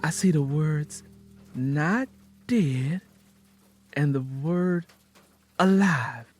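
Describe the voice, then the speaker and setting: A caring country woman , played by a male reader, pitched well up for an audio drama. She is earnest and hopeful, with a folksy, coaxing way of talking, over quiet music. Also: expressive, drawn-out words, exaggerated voice. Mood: excited hope. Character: caring, hopeful, pleading Southern country woman with little schooling.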